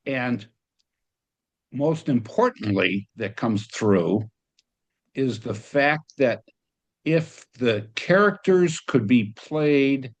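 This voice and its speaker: A man's voice: medium pitch, expressive, very slow. A man giving effusive, emphatic feedback in a casual way as an audience member on a video call.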